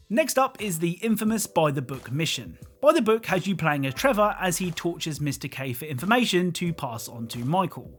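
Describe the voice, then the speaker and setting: A man narrating a scripted video game explainer as a YouTuber, with dry humour. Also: fairly high pitch.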